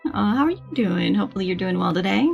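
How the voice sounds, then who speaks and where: Girl streaming a game, chatty n friendly, normal pitch, bit of quiet music under her. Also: animated, quick pace, gravelly, muffled recording. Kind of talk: casual livestream chat and game commentary. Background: faint game music.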